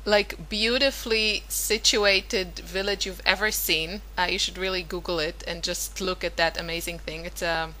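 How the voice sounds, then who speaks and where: Chatty woman, bright voice. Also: fairly low pitch, steady tone, normal speed, hardly any pauses, low-quality recording. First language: Hebrew. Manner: chatty, rambling, many fillers and self-corrections. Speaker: scrapbooking vlogger. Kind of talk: casual voice-over narration of a craft process.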